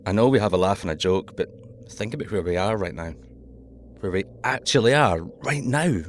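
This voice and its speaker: An actor playing a joking Scottish submarine pilot who misses home, in an audio drama. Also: male voice, fairly low pitch, animated, moderate pace, a little hiss or hum, close to an everyday voice. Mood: reflective. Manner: cheeky, joking.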